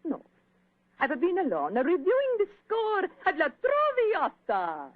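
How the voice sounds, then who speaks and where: An actress playing a vain, flamboyant opera soprano with an Italian accent in an old radio drama, theatrical and self-admiring. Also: female voice, very high-pitched, huge pitch swings, measured pace, no room sound, full character voice. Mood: grand. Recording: thin phone-like old radio recording.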